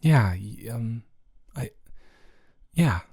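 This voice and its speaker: A man's voice: medium pitch, lively intonation, very slow, vocal fry, darkish tone, breathy edge, heavily acted. Hesitant, caring young male roommate played by a man. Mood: earnest, sympathetic. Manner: hesitant, rambling, stammering, reassuring. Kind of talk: improvised intimate roleplay audio, casual and conversational.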